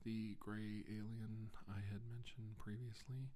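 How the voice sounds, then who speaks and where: A middle-aged man playing a shaken doctor giving a halting deposition about alien abduction in an ASMR role-play. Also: fairly low pitch, little pitch movement, warm tone. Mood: hesitant, uneasy. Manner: halting, hesitant, deliberate.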